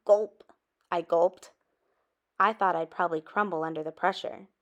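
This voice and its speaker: A woman reading a children's story aloud expressively, with dramatic emphasis and drawn-out words. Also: lowish voice, fairly even intonation, fairly bright tone, dull audio. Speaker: storybook reader.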